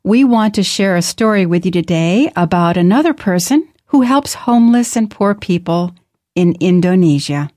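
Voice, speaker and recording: A woman's voice: lowish voice, lively intonation, normal speed, warm tone, no echo, clean studio sound. A woman co-presenting, talking in a friendly way and asking the listener questions.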